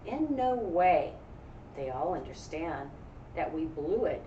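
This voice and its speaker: A woman's voice: deep voice, very animated, slow, mellow, muffled recording, heavily acted. A woman voicing a kind, upbeat male dance partner from a storybook, consoling and encouraging in rhyme. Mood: reassuring, upbeat. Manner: encouraging, rhyming delivery. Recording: echoey room, distant mic, faint noise.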